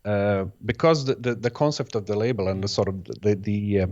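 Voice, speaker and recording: Low-voiced hesitant man, native Bosnian speaker. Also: brisk, a little mellow, highs cut off. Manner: hesitant, restarts phrases, many "sort of" hedges. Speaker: guest, electronic music producer. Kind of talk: casual interview answers.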